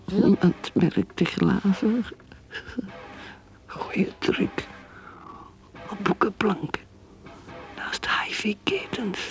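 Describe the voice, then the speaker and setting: An actress in a radio play playing a despairing alcoholic wife brooding in secret, in breathy, halting, broken phrases. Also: female voice, medium pitch, full character voice, poor audio. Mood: secretive. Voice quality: breathy, whispered, creaky. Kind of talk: radio play inner monologue.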